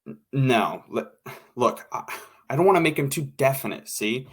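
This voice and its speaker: Casting: male actor as mid-pitched studio director, groping for words, tactful yet exacting, vintage radio play. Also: very animated, normal speed, breathy edge. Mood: searching, fussy. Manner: hesitant, groping for words, repeating himself. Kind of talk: naturalistic old-time radio drama acting.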